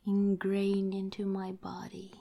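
A woman whispering tenderly and haltingly in an intimate, affectionate romantic ASMR roleplay, her voice breathy. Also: medium pitch, completely flat delivery, dark tone.

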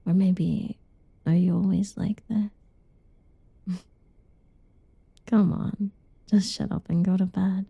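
Whispering woman, slow and husky. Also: fairly low pitch, fairly even intonation, no room sound, lo-fi sound. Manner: soft whispering, teasing and cuddly; playful giggles between lines. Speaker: roleplay narrator. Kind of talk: ASMR roleplay.

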